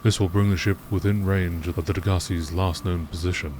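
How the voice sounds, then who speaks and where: A man reading scripted text aloud as a narrator, measured and deliberate, in a nature documentary style. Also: low voice, fairly even intonation, a little mellow, slight background noise.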